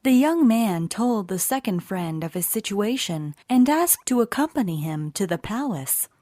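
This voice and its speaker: A woman narrating a scripted children's bedtime story in an expressive, deliberate way. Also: medium pitch, no room sound, studio quality.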